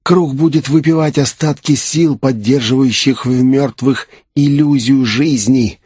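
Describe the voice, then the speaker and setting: A man's voice: mid-range voice, steady tone, measured pace, dark tone, husky, dry recording, studio quality, pitched above the performer's own voice. A man voicing an assured, composed naga necromancer who explains his schemes in an audiobook.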